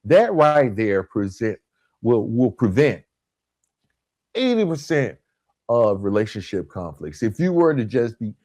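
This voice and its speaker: A man hosting a podcast in a motivational coaching style, emphatic and deliberate, repeating key points and dropping in colloquial asides. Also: medium pitch, a little mellow, no echo, clean studio sound. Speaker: podcast host. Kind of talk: motivational relationship coaching talk.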